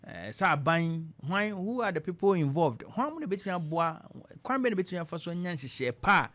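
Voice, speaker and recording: A man's voice: high-pitched, big pitch swings, a little fast, fairly bright tone. A man with a Ghanaian accent hosting a conversational radio interview, interjecting and echoing his guest's points.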